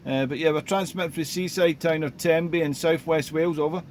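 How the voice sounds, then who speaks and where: A man's voice: a little high, steady tone, fast, a little hiss or hum. A man from Glasgow chatting casually on a home radio station by the sea, friendly, with many filler sounds. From Scotland. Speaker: radio amateur.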